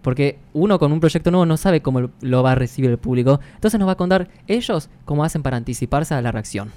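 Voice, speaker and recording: A woman's voice: deep voice, animated, fast talker, dark tone. A woman. She co-hosts a podcast and explains things in a casual, conversational way. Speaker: podcast co-host.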